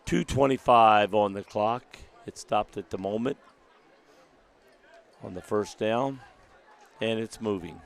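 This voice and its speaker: A man with a deep, gravelly voice, calling a live local high school football game in a folksy manner while recovering from a lost voice. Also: slow pace, long pauses. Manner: folksy, excitable on big plays. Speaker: play-by-play announcer. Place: outdoor stadium press box. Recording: outdoor stadium broadcast.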